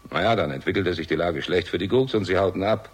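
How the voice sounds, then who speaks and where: Gruff, low-voiced bitter war veteran. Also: male voice, fast. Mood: grim, bitter. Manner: curt, sardonic. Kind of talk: German radio crime drama acting.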